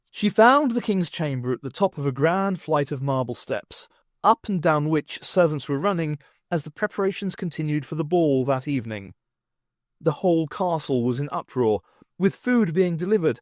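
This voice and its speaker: A man narrates a novel with an expressive, wry delivery, his pitch rising and falling freely. His voice sits a little high and sounds dry and close to the mic. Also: dry recording. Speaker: audiobook reader. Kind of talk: audiobook narration.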